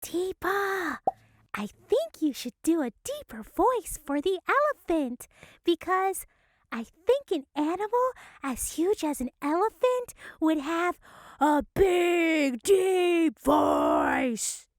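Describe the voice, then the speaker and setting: A woman's voice: extremely high pitch, big pitch swings, slow, no room sound, clean studio sound. A woman narrating and co-hosting a playful children's reading lesson. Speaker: narrator and co-host.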